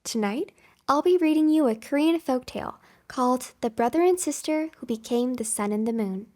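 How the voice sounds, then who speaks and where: A woman narrating a scripted children's bedtime story as host, with careful, expressive enunciation. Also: sits high, normal speed.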